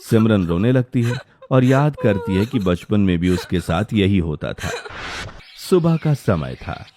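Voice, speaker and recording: A man's voice: lowish voice, big pitch swings, a little slow, dark tone, a little hiss or hum. A man narrating a scripted Hindi cartoon story as its storyteller.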